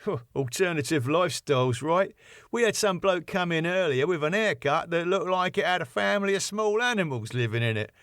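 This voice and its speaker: A man's voice: a little high, expressive, a little fast, fairly bright tone, dry recording, clean studio sound, voice pushed up. A man voicing a grumbling, disapproving bouncer who talks in scornful, colloquial phrases.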